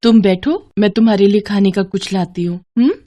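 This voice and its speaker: A woman voicing a kind, pious young daughter-in-law, gentle and earnest, in a moral story. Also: mid-range voice, brisk, a little hiss or hum, natural voice. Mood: tender.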